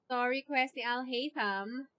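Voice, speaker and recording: A woman's voice: on the high side, unhurried, fairly bright tone, no room sound. A woman with a Filipino accent chatting casually as a game streamer, reacting aloud and switching between two languages.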